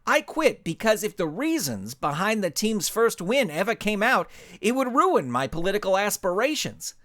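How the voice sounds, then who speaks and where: A man's voice: high voice, big pitch swings, normal speed, pushed and bright, dry recording, studio quality, pitched above the performer's own voice, exaggerated voice. A man voicing a nervous, earnest youth coach who pleads insistently in a story. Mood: earnest pleading. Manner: whimpering, insistent.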